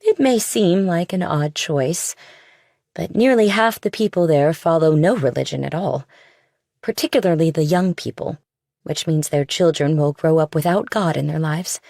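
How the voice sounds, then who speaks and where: A woman's voice: low voice, normal speed, gravelly, a little mellow, no echo, clean studio sound. A woman voicing a stern, pious middle-aged missionary mother in audiobook dialogue. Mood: severe.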